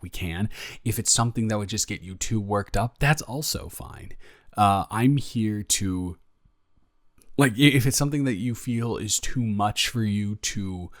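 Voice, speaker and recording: A young man playing a caring, flustered roommate in an intimate roleplay audio, rambling and stammering as he gently reassures a friend. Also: lowish voice, dry recording, studio quality, lightly acted. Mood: hesitant, concerned. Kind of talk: improvised intimate roleplay audio, one-sided conversation.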